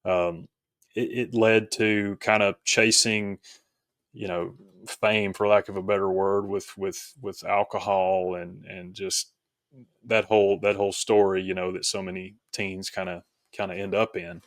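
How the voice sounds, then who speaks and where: A man from rural Tennessee speaking as an interview guest, explaining things reflectively in a halting, conversational way. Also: deep voice, level tone, dry recording, clean studio sound. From rural Tennessee, United States. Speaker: podcast guest, author.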